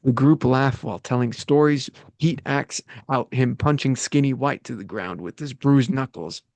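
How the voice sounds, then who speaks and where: A man's voice: mid-range voice, a little mellow, dry recording. Dude reading his own script directions, jokey and sweary, dry close mic. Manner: brisk, sweary, joking. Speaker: writer reading stage directions. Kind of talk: casual table-read narration.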